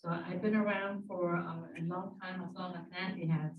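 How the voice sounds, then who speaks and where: An older woman from Peru introducing herself as an escrow officer, speaking haltingly with fillers. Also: fairly low pitch, level tone, narrow, tinny audio, a little hiss or hum. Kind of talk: panel introduction. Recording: echoey room, distant mic.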